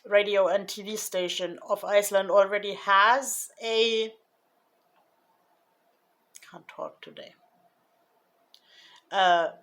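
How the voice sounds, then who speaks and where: Slow, hesitant woman vlogger. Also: medium pitch, fairly even intonation, a bit bright. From Germany. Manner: hesitant, many fillers and restarts; slow, thoughtful delivery. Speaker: YouTuber. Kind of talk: casual vlog recap.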